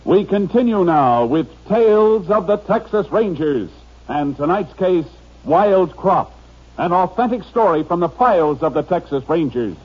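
A man's voice: high voice, expressive, measured pace, breathy edge. An American man announcing a 1950s radio drama in a formal scripted style. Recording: muffled old radio recording.

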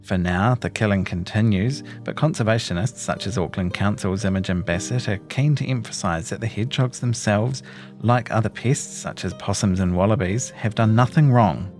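A man with a New Zealand accent reads a scripted long-form news story in a measured, articulate storytelling delivery. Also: on the low side, a little mellow, soft background music. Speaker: reporter reading his own long-form article. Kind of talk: scripted narration of a feature story.

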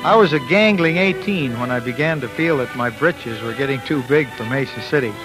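A man's voice: medium pitch, brisk, lightly acted, rough recording. An actor playing an earnest small-town youth who tells his life story in a folksy, wry 1940s radio style. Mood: wry, nostalgic. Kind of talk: scripted narration, 1940s radio acting. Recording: vintage radio recording with music.

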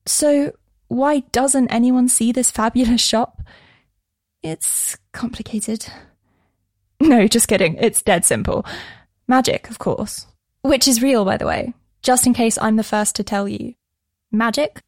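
An actress playing a wry, chatty shop worker who confides in the listener in an audio fantasy drama. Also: female voice, fairly high pitch, unhurried, a little mellow, no room sound, clean studio sound. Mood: playful, enthusiastic. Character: wry, chatty young woman working in a magic shop. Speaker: narrating lead character of an audio fiction series. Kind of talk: intimate conversational first-person dramatic narration.

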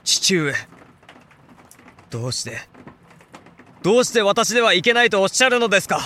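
A man's voice: high voice, very animated, moderate pace, sharp tone, heavily acted. A man playing an earnest young samurai heir, formal, respectful and intense with his father, in a Japanese historical audio drama. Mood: hurt pleading.

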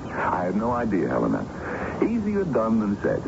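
An actor playing a domineering middle-aged American colonel, talkative and take-charge, in a 1970s radio drama. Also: male voice, fairly low pitch, wildly swooping pitch, normal speed, creaky, full character voice. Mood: genial, bossy. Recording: muffled noisy old radio broadcast.

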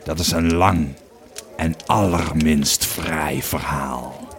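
A man acts out a grumpy old Roman soldier in an audio drama. The voice is low and gruff, and the old veteran tells his tale slowly and wearily. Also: steady tone, exaggerated voice. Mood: weary, resigned. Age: older. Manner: gruff, slow storytelling. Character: grumpy old Roman legionary, male, weary and wine-drinking.

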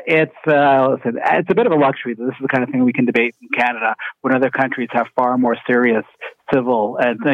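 A man debates on a radio panel, talking fast in a brisk, argumentative way. His voice is mid-pitched, and the sound is dry and a little dull. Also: dry recording. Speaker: commentator guest.

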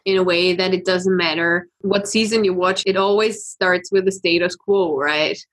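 A woman's voice: fairly low pitch, fairly even intonation, normal speed. Chatty woman from Austria, laughing often. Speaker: podcast co-host. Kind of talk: casual news chat.

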